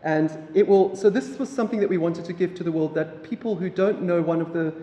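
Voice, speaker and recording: A man from South Africa, an Afrikaans speaker, giving a relaxed public lecture with halting phrasing and many fillers. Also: sits high. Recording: echoey hall, mic from afar.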